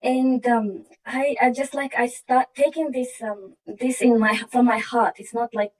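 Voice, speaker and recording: Woman telling her story on a video call, earnest, kinda halting, sounds far from the mic. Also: fairly high pitch, fairly even intonation, a little mellow. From Iraq. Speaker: program participant sharing her story. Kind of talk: personal testimony.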